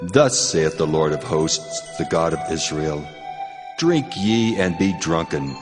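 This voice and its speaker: An actor playing a stern, wrathful God who pronounces judgment in a solemn, commanding, measured way in a dramatized scripture reading. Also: male voice, very deep voice, expressive, exaggerated voice. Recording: long cavernous reverb, music underneath.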